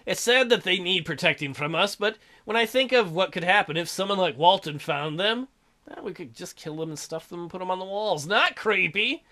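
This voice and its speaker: A man with a high, bright voice hosts a let's play. He reads story text aloud and cuts in with irreverent, mocking commentary. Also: animated, normal speed. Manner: irreverent, crude joking, mocking. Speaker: gaming video host, let's player. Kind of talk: reading visual novel aloud with joking commentary.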